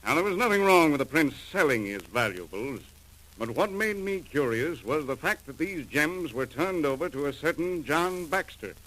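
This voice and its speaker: An American man acting a gruff, seasoned customs chief in a 1940s radio crime drama, with clipped, slangy, businesslike delivery. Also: on the high side, bright, forceful tone, poor audio. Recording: old 1940s radio recording.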